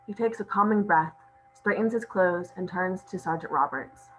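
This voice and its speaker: A woman's voice: fairly low pitch, normal speed, a bit bright, soft background music, low-quality recording. A woman reading stage directions as scripted narration at a table read.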